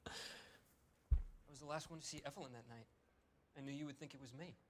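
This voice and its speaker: A man's voice: mid-range voice, normal speed, darkish tone, slight background noise, lightly acted. A man playing a nervous, evasive murder suspect in a video game, hesitant and defensive. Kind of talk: dramatic video game acting.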